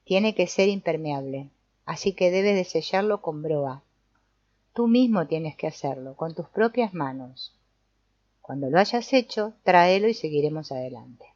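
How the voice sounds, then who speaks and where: A woman reading the lines of an old male sorcerer teacher, calm and instructive, in her own voice. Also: low-pitched, measured pace, no room sound. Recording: dull lo-fi dry recording.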